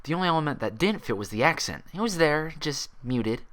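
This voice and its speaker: A man's voice: on the high side, animated, brisk, a bit bright, pitched above the performer's own voice. A man voicing an anxious, paranoid young female pony, thinking feverishly, in a storybook reading. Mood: frantic suspicion. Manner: hesitant aloud, feverishly theorizing in thought. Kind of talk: storybook character voice in fan fiction reading.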